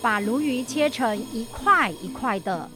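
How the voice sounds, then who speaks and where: A woman's voice: on the high side, animated, a little slow, music in the background. A woman with a Hokkien-tinged Taiwanese Mandarin accent acting a helpful, chatty neighbour auntie in a radio drama.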